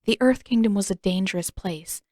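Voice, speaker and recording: A woman's voice: medium pitch, a little fast, a little mellow, no echo, studio quality. A woman reading a story as scripted audiobook narration, in the manner of an amateur narrator.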